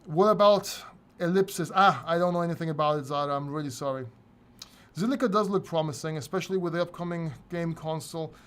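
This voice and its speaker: A man's voice: a little high, fast, fairly bright tone. Candid Greek-born man, crypto host. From Greece. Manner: candid, casual, occasionally swears. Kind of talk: live stream Q&A reading chat.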